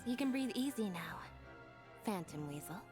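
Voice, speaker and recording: Composed young woman, acted by a woman, mid pitch, measured, deadpan, quietly confronting, video game dialogue, soft music behind. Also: lightly acted. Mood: cool, accusing.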